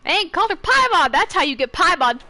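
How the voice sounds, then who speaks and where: Female gaming commentator, bright and forceful, very animated intonation, playful mock outrage. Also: extremely high pitch, fast. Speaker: gamer reacting to the game.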